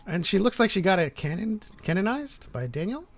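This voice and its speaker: Higher-voiced man hosting a casual live stream. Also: very animated, brisk. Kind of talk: casual chat, reading out live chat. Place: online group live stream. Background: background music.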